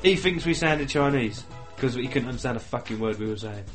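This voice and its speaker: A man's voice: medium pitch, lively intonation, a little fast, faint music behind. Mumbling sweary English podcast man. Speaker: podcast co-host. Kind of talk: casual banter.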